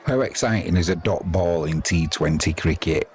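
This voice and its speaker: English bloke doing casual cricket commentary, deep voice, slangy and dry, bit of crowd noise in the background. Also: male voice, normal speed, a little mellow. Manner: chatty, dry-humoured, blunt, slangy. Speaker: live sports commentator and host.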